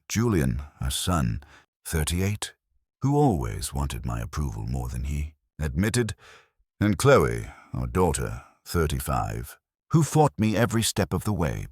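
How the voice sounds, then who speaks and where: A man narrating a scripted first-person story in a measured, dramatic storytelling manner. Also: extremely low pitch, no room sound, clean studio sound.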